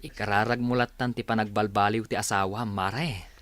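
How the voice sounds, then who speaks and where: A man's voice: lowish voice, steady tone, moderate pace, a bit bright, close to an everyday voice. A man playing a concerned, chatty village neighbor in a radio drama, given to muttering. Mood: sympathetic. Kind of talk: Ilocano comedy radio play acting. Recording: clearly noisy, insect chirps behind.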